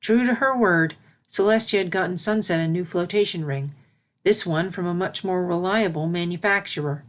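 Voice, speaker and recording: A woman narrates a story from a script in a slightly low voice. She reads fan fiction aloud in a storybook style. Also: moderate pace.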